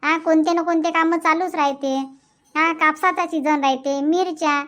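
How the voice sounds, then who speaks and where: A sarcastic, grumbling village wife played by a woman in a cartoon comedy. Her voice is extremely high, almost childlike, with a Varhadi Marathi accent. She rambles on in resentful, thrifty complaint. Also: steady tone, normal speed, a bit bright. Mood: complaining. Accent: Varhadi Marathi from Vidarbha. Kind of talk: dubbed cartoon comedy dialogue.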